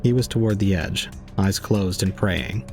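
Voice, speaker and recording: Male actor as brooding young antisocial man, deep voice, formal cold diction, detached audio drama narration, faint music behind. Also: unhurried, darkish tone.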